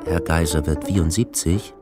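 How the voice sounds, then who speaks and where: A man narrating a literary radio play in a measured, matter-of-fact way. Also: rumbling low, music in the background.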